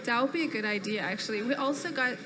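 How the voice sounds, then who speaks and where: A woman's voice: on the high side, fast talker, noisy background. A woman with a Persian accent speaking as a guest at a live panel, chatty and effusive, teasing herself. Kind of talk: casual panel chat with audience.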